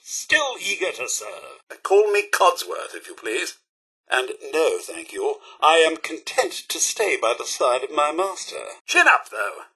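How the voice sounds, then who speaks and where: Casting: fussy British robot butler in a game, high-set voice, slightly rough edge, pompous and very courteous. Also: male voice, sharp tone, exaggerated voice. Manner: formal, pompous, theatrically polite. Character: prim, fussy, snobbish male robot butler. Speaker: video game voice actor. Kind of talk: scripted game companion dialogue.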